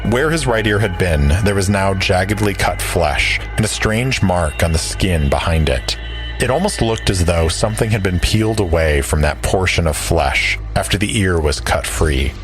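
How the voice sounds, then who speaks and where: A man's voice: deep voice, lively intonation, moderate pace, over music. A man narrating an audio drama as a storyteller, reading scripted prose. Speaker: narrator and player.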